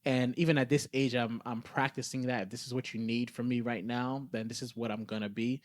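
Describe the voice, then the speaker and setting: A man's voice: medium pitch, fairly even intonation, fairly quick, no echo. Earnest man talking on a dry, close podcast. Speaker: podcast co-host. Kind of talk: reflective conversational podcast talk.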